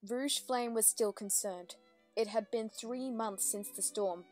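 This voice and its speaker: A woman's voice: on the high side, measured pace, a little mellow. A woman reading scripted story narration as the narrator of a dramatic reading. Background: faint music.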